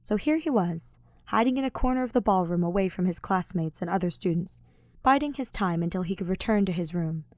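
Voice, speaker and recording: A woman's voice: lowish voice, lively intonation, brisk, a little mellow, dry recording, studio quality. A woman reading scripted story narration as an audiobook narrator.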